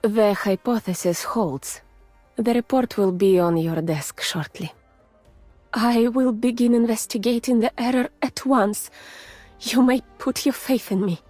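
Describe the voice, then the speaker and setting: Soft, breathy, weary Russian scientist. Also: female voice, fairly high pitch, no room sound, soft background music. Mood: focused, dutiful. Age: adult, mature. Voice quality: soft, breathy, often near a whisper. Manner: measured, formal, restrained. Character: gentle, weary, haunted Russian woman scientist. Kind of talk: soft, intimate ASMR-like game voice acting.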